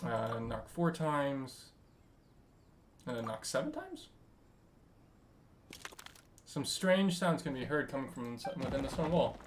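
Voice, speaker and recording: A woman's voice: extremely low pitch, big pitch swings, unhurried, long pauses, slight background noise. A woman casually commenting on a game as she streams, with playful, teasing asides. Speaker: streamer reading along and reacting to a game.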